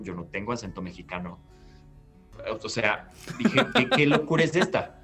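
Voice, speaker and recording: Animated man telling his story. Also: mid-range voice. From Peru. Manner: animated, gestures while recounting, restarts phrases. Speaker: guest actor. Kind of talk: storytelling interview answer. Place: bright living room at home. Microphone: laptop webcam on video call.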